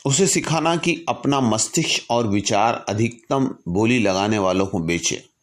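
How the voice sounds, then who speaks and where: A man telling a story reflectively in a didactic way, with measured delivery and deliberate pauses. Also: on the low side. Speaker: storyteller and speaker. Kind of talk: reflective storytelling, reciting a letter from memory with asides.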